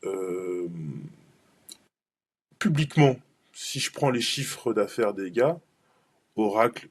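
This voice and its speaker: A man presenting a cloud benchmarking webinar in a casual, rambling way, full of fillers and restarts. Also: lowish voice.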